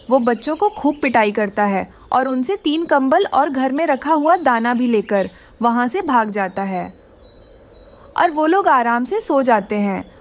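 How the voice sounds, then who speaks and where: A woman narrating a scripted children's cartoon story. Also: high-pitched.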